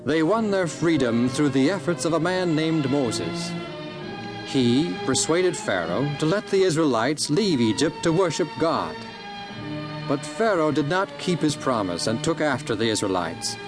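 A man narrates a scripture tale as a dramatic storyteller. His voice is a little on the high side, and music plays behind him. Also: very animated, unhurried.

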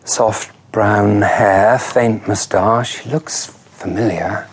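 An actor playing a somber, reflective man in a radio drama, speaking in a measured, brooding way. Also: on the low side, fairly even intonation, noisy background. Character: somber reflective male friend narrating a murder scene. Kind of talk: radio drama acting, intimate narration.

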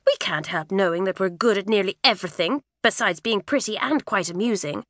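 A woman's voice: medium pitch, a little fast, no room sound, studio quality, lightly acted. A woman voicing a sulky, hot-tempered teenage schoolgirl with a petulant, pleading manner.

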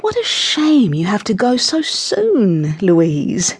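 Kindly storybook granny acted by a female reader: calm, firm, reassuring, slightly rough-edged voice. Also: on the low side. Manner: soothing, measured, firm. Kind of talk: children's audiobook character voice.

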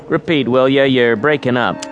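A man's voice: mid-range voice, normal speed, dull audio, soft background music, natural voice. An actor playing a breezy, confident American airport ground crewman, clipped and colloquial, in a radio drama. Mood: businesslike.

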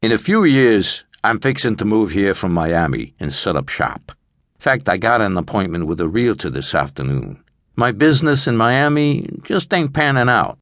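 A streetwise, middle-aged money lender with menace under a businesslike manner, acted out by a male narrator. He lays out terms in a measured way, with lively pitch, recorded close and dry. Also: no echo. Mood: calculating. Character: calculating middle-aged male loan shark, cool and menacing.

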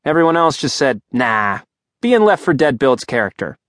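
A man voicing a flustered, sarcastic young man who babbles nervously in a high, strained voice. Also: sing-song, no room sound, clean studio sound, natural voice. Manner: rapid indignant babbling, voice rising high.

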